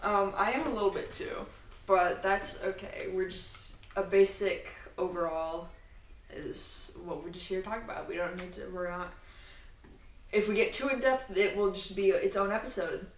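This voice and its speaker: A woman's voice: mid-range voice, steady tone, moderate pace. A woman co-hosting a casual podcast, explaining things in an informal, fannish way with trailing asides. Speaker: podcast co-host. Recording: echoey room, distant mic, faint noise.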